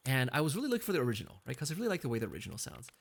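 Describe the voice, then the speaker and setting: Chatty gravelly male streamer. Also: medium pitch, wildly swooping pitch, racing. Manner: joking, reads chat aloud, frequent laughs. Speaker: VTuber streamer. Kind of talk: casual chat between karaoke songs.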